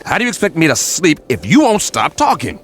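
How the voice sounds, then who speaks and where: A man playing an irritable, weary space traveller in an audio drama, gravelly and sardonic, with dramatic emphasis. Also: fairly high pitch, huge pitch swings, a little fast. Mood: exasperated. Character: weary, irritable male space traveller confined aboard ship. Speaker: lead actor in an audio drama. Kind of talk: radio drama dialogue and first-person narration.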